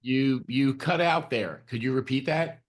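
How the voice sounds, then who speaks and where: Guy calling to book something, mid pitch, polite short answers, plain talk. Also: measured pace. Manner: polite, brief replies. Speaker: caller testing a booking line. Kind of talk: plain phone conversation.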